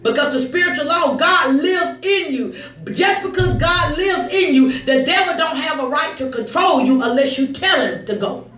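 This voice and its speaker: A woman's voice: sits high, animated, moderate pace, a bit bright. A woman preacher giving a fervent sermon, exhorting emphatically, swinging between conversational asides, scripture reading and raised-voice declarations. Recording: echoey room, distant mic, faint noise.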